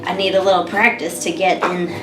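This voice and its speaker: An American woman in her thirties co-hosting a podcast, chatting casually with clear, careful diction and frequent laughter. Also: on the low side, flat delivery, fairly quick. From United States. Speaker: podcast co-host. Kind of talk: casual chat. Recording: echoey room with music behind.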